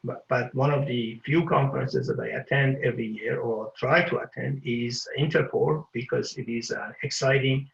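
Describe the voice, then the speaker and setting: An older man from Iran giving an award acceptance speech, halting and full of filler pauses. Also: mid-range voice, fairly even intonation, vocal fry, echoey room, muffled recording. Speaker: award recipient.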